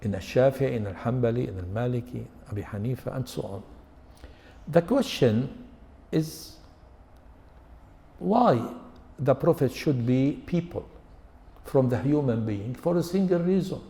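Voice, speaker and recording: A man in his seventies from Lebanon, an Arabic speaker, giving a religious lecture in English at a measured pace, stacking lists and rhetorical questions. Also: medium pitch, animated, warm tone. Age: 70s. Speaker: Islamic scholar and lecturer. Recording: long hall reverb.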